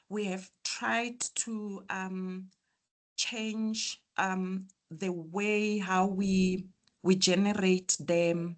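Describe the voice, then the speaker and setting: A soft-voiced woman speaks slowly on a video call mic. She answers members' questions in an online meeting, hesitating often with ums and restarts. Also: medium pitch. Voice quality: soft, quiet voice. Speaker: association official answering members.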